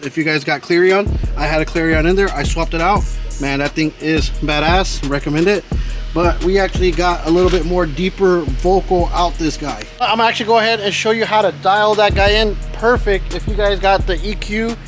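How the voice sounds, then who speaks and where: A man's voice: high-pitched, fairly quick. A man giving a casual, chatty how-to talk about tuning his truck's speakers, recorded inside the truck. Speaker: car audio vlogger. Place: inside a truck with the stereo playing. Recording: loud music behind the voice. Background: car stereo music playing.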